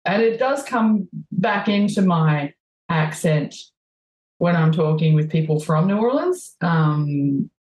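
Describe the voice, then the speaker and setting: A woman's voice: on the low side, expressive, takes it slowly, a little mellow, reverberant, poor audio. A woman with a broad Australian accent, chatting as a guest and telling rambling stories full of ums. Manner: rambling storyteller, laughs easily, many ums. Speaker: guest musician. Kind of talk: casual conversation.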